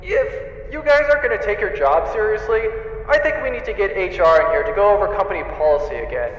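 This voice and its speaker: An exasperated facility announcer, played by a man over a dull public-address system. The comic, bureaucratic delivery is high and rings in long reverb. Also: animated, fast, over music. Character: exasperated male facility announcer scolding guards. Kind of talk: comedic audio drama acting.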